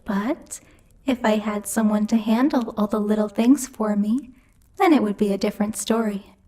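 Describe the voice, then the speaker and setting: A woman's voice: mid-range voice, moderate pace, mellow, lightly acted. A woman voicing a bossy, teasing female demon who rules hell, speaking in a haughty, playfully menacing ASMR roleplay style. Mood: persuasive, earnest. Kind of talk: ASMR roleplay, intimate scripted monologue to the listener.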